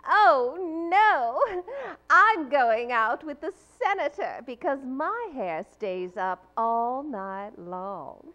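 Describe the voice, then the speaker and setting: A woman's voice: on the high side, expressive, slow pace, pushed and bright, heavily acted. A woman playing a glamorous, flirtatious spokeswoman in a theatrical 1940s radio commercial style. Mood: coy. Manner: theatrical, coy. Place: live theater stage. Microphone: vintage-style stand microphone.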